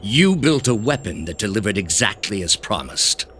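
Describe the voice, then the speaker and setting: An actor playing a cold, pompous male grandmaster of an ancient zealous order in a video game, speaking deliberately and with condescension. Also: lowish voice, big pitch swings, bright, forceful tone, slight background noise. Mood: scornful, imperious. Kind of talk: dramatic video game dialogue.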